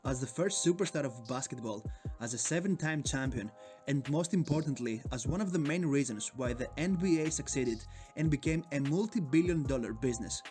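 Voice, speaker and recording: A man's voice: medium pitch, animated, fairly quick, darkish tone, soft background music. A man from Greece with a Greek accent, narrating a sports video in a hyped, joking, profane way. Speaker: sports YouTuber.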